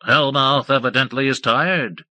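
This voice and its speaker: A man voicing a nervous, fussy male servant who tends a machine and protests his innocence in a high voice. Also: fairly even intonation, a little slow, sharp tone, no echo. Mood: protesting. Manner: high, protesting, eager to excuse himself.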